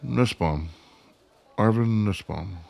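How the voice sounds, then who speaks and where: A guarded, awkward traveler in a radio drama. His voice is lowish with a creaky edge, and he talks in terse, hesitant phrases. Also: slow pace, darkish tone. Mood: tentative. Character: awkward, smitten, guarded man traveling alone. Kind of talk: radio play acting.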